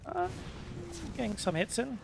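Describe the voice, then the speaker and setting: A man's voice: very high voice, big pitch swings, measured pace, vocal fry, noisy background. A man giving casual let's play commentary, tossing out short offhand reactions as he plays. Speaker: gaming video host.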